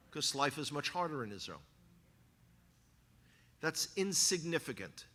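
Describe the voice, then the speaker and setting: A man with a Bronx New York accent giving a church talk, animated and cracking jokes to the congregation. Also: on the high side. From New York, USA. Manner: animated, joking asides to the congregation. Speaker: guest speaker, former tour guide. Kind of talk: sermon-style talk. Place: church hall. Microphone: handheld microphone.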